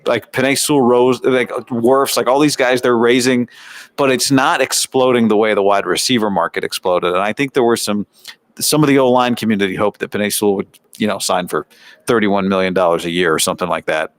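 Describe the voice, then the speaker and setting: A man's voice: lowish voice, a little fast, no room sound. A man with an American accent giving conversational, analytical sports commentary as a show host, often posing rhetorical questions and asides. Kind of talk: sports commentary, practice recap.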